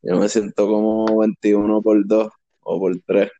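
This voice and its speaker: A man's voice: on the low side, little pitch movement, unhurried, dark tone, dry recording, dull audio. A twenty-one-year-old man with a Puerto Rican accent hosting a podcast, asking questions in easygoing slang and laughing often. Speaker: podcast host. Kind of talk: casual interview.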